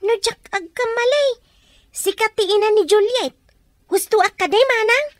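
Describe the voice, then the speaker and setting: An actress playing a nosy, excitable woman who gossips and needles in an Ilocano radio comedy drama. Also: very high voice, normal speed, no echo, studio quality. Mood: pointed, provoking.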